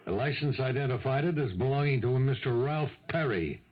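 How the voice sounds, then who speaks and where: An actor playing a dogged police lieutenant in a 1970s radio drama, questioning a suspect in a deliberate, accusatory manner. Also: male voice, medium pitch, animated, natural voice. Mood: accusing. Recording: muffled 1970s radio broadcast.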